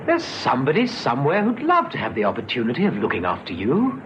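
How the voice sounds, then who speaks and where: An actor playing a kindly, persuasive police officer in an old radio drama, earnest and coaxing, sometimes stammering. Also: male voice, mid-range voice, huge pitch swings, quick pace, fairly bright tone. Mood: earnest, pleading. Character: kindly, persuasive male police officer talking someone round. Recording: dull, noisy old radio recording.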